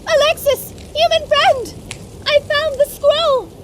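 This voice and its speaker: A woman playing a tiny, plucky, excitable fantasy creature with a squeaky delivery in an audio drama. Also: slow pace, slight background noise, low-quality recording. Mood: excited.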